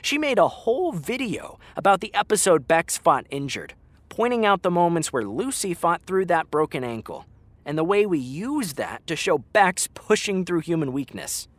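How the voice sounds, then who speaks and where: A man's voice: high-pitched, very animated, normal speed, no room sound. An actor playing a frank, exasperated young man talking to his best friend in a naturalistic, conversational audio drama style. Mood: earnest, pointed.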